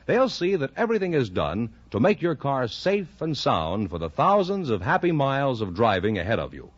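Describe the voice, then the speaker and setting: A man's voice: medium pitch, huge pitch swings, normal speed. A man with an American accent reading scripted sponsor announcements on 1950s radio, in a polished salesman's delivery. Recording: dull vintage radio broadcast, some music.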